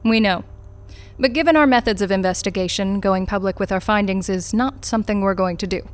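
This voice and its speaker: A woman's voice: medium pitch, fairly quick, close to an everyday voice. Woman acting cool, formal corporate interrogator. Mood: cool, matter-of-fact. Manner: formal, clipped, detached. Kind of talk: naturalistic audio drama acting.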